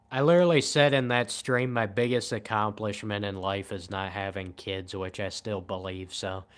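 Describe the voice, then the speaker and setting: A man in his twenties chatting casually on a late-night livestream in a flat, monotone, low-key way. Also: clean tone. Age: 20s. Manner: monotone, calm and low-key, says he shows little emotion. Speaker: livestream host.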